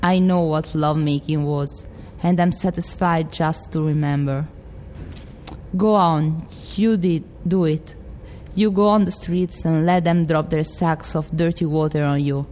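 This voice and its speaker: Brief: woman playing a defiant grieving widow, Italian accent, very low voice. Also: fairly even intonation, a little slow, a little mellow, in a large hall, a little hiss or hum. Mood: defiant, proud. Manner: impassioned, defiant monologue reading. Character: proud grieving middle-aged widow, fiercely devoted.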